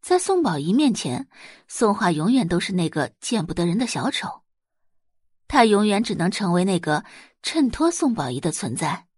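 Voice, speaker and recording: A woman narrating a Chinese web-novel audio drama in an expressive storytelling manner. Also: mid-range voice, moderate pace, breathy edge, no echo, studio quality.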